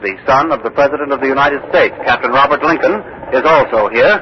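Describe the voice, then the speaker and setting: An earnest male news correspondent, played by a radio actor, reporting as if live from history. He speaks in measured, dramatic phrases with weighty pauses, in 1940s radio style. The recording is a dull, hissy old broadcast. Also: mid-range voice, close to an everyday voice. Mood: formal, inquiring.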